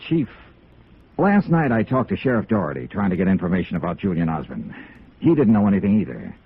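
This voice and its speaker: A man's voice: low-pitched, sing-song, a little fast, husky, full character voice. An American man acting a tough, persistent insurance investigator in a 1950s radio drama, with a clipped, insistent delivery. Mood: confrontational. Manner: clipped, insistent, hard-boiled. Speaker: actor in the lead, also narrating. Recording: tinny, noisy old radio recording.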